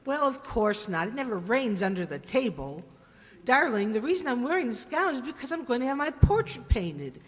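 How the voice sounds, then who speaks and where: A woman's voice: fairly high pitch, sing-song, normal speed. A woman playing a ditzy, scheming, playful suburban housewife with theatrical, wheedling comic timing in an old-time radio sitcom. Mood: coaxing, playful. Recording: echoey hall, long reverb.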